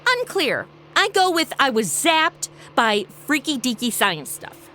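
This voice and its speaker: A woman's voice: high voice, sing-song, unhurried, bright, forceful tone, slight background noise, full character voice. A woman playing a brash, flippant young woman from the future in a comedy audio drama, with breezy, sarcastic modern slang. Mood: casual, wry.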